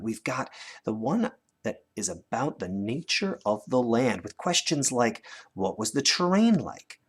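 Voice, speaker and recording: A man's voice: medium pitch, husky. A man with a North American accent teaching children in a conversational way, guiding them with questions as he demonstrates. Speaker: teacher presenting a lesson. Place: indoors at a desk, hands-on demonstration filmed from above.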